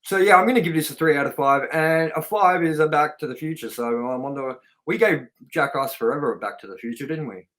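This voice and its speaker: A man's voice: on the high side, fairly quick, few pauses, fairly bright tone. A man hosting a casual film review chat, speaking in an informal, chatty way with some swearing. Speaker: podcast host and film reviewer.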